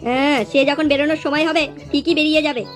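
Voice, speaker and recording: A cheeky cartoon schoolboy played by a woman with a high voice. He talks in an offhand, casual comic way over light music. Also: level tone, fast, lightly acted. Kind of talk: comedy cartoon dialogue.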